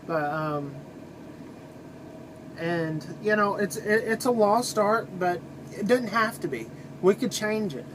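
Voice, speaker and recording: A high-voiced man talks in a loose, folksy vlog style. He is informal and opinionated, rambling along conversationally. A faint steady hum runs behind his voice. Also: slow pace, long pauses. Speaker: trucker video blogger. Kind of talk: casual rambling vlog monologue.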